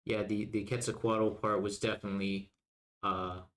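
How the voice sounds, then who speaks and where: Low-voiced man in casual podcast talk. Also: little pitch movement, normal speed. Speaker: podcast co-host.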